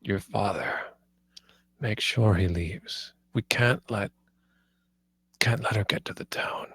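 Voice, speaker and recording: A man plays a dying father in a film drama, grieving yet resolute. His voice is low and strained, close and dry. He speaks haltingly, near breaking into whispers. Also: a bit bright, breathy edge, no echo, exaggerated voice. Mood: weak, dying. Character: dying, grief-stricken father, resolute but failing. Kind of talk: table read of a film script.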